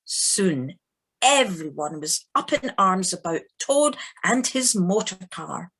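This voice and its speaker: A woman acting as the narrator of a storybook animal tale in an audio play, with measured, expressive delivery. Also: lowish voice, husky, full character voice. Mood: lively storytelling. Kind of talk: scripted narration.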